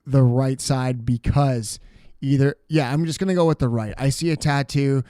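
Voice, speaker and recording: A man's voice: medium pitch, fairly even intonation, moderate pace, warm tone. A man speaking as a comedian guest on a studio podcast, chatting casually and joking at his own expense. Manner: self-deprecating, joking. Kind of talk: casual chat.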